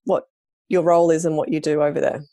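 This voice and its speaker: Low-voiced woman host. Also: level tone, fast talker, no room sound, clean studio sound. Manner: warm short backchannels, easy questions. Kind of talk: podcast interview.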